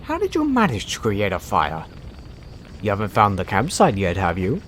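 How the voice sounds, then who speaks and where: A woman playing a mischievous grown cartoon aunt who is teasing, sardonic and quick to scold. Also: very deep voice, huge pitch swings, a little hiss or hum, full character voice. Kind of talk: animated fan audio drama acting.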